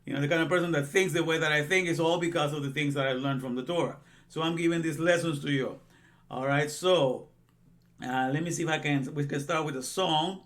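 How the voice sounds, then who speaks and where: Man in his sixties, religious teacher, loose rambling delivery, bright, slightly raised voice. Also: on the high side, fairly even intonation, brisk. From Guatemala. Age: 60s. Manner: rambling, repeats himself, frequent "you know".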